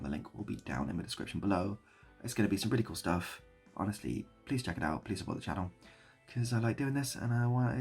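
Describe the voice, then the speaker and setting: A British man improvising a rambling story and chatting as a video host, mock-nostalgic and often repeating his phrases, with a husky, gravelly voice. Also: lowish voice, darkish tone. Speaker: online video creator and host.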